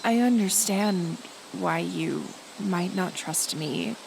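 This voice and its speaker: A woman playing a kind, stubborn healer who lives in the woods, speaking haltingly and earnestly to the listener in a roleplay audio drama. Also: on the low side, creaky, a little mellow, poor audio. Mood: gentle pleading. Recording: outdoor nature ambience, insect noise. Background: forest ambience with insects.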